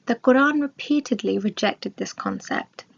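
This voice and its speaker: A woman reading a scripted religious study aloud in a measured, formal manner. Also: a little high, vocal fry. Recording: dull, lo-fi recording.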